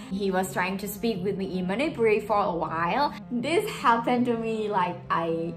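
A woman's voice: mid-range voice, unhurried, echoey room, faint music behind. A young woman from Thailand with a Thai accent, chatting expressively to camera about her experiences in a casual vlog style. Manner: chatty, expressive, gestures with hands. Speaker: vlogger. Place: small home room, indoors.

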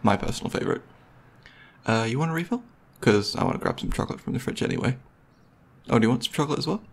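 A young man playing a gentle, nerdy, considerate boyfriend in an intimate ASMR roleplay, talking in a hesitant, soft-spoken, conversational way. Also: medium pitch, lively intonation, fast talker, creaky, slightly rough. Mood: playful, easygoing.

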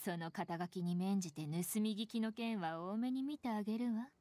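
A woman's voice: medium pitch, moderate pace, mellow, close to an everyday voice. A woman playing a cool, sardonic young nun in an anime game, curt and dryly cutting. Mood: coolly sardonic. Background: game background music.

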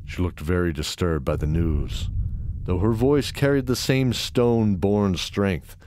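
A man's voice: low-pitched, measured pace, darkish tone. A man narrating a scripted fantasy audio drama. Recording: clear background noise and ambience.

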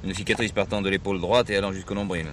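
An actor playing a methodical, polite but firm police inspector in a French radio drama, speaking formally. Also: male voice, sits low, little pitch movement, rapid-fire, a bit bright. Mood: firm, probing. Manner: formal, probing. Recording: slightly noisy old radio drama recording.

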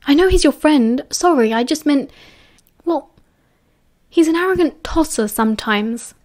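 A woman's voice: high-pitched, lively intonation, measured pace, darkish tone, pitched above the performer's own voice. A woman voicing an earnest, mild teenage schoolboy in a story, disapproving and a little hesitant. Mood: apologetic.

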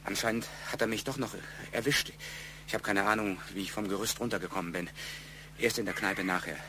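Defensive, hesitant man played by an actor, fairly low-pitched, evasive under questioning in a radio crime drama. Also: fairly even intonation, brisk, background noise, natural voice. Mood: halting confession.

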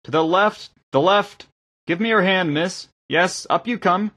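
Man performing eager lay preacher, kindly male engineer, hearty, welcoming, husky, audiobook character. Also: mid-range voice, expressive, a little fast, voice pushed up. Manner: hearty, welcoming, gently correcting.

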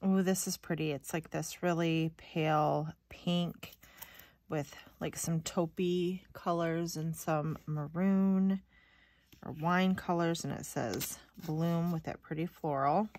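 A woman's voice: low voice, fairly even intonation, takes it slowly, a little mellow, no room sound. A woman chatting casually and thinking aloud as she hosts an unboxing video, sounding slightly stuffy-nosed. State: slightly stuffy, sniffly nose. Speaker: craft video host. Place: indoors at a craft table.

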